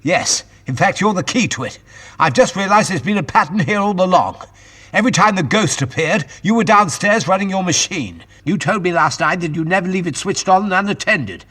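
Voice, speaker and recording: A man acting as a brisk gentleman scientist in an audio drama, with a forceful, husky voice that swings freely in pitch. He explains things with old-fashioned courtesy and takes command, over a music bed. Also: animated, full character voice. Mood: urgent, authoritative.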